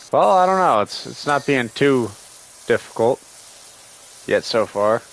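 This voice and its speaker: A man gives loose, sarcastic running commentary while he streams a game, griping at its characters as if they could hear him. His voice sits at an ordinary middle pitch, and he is still shaking off a cold that has left him with a lingering cough. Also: vocal fry, a bit bright, a little hiss or hum, rough recording. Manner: sarcastic, grumbling, talks back to the game's characters. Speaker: gaming streamer. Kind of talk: casual livestream game commentary.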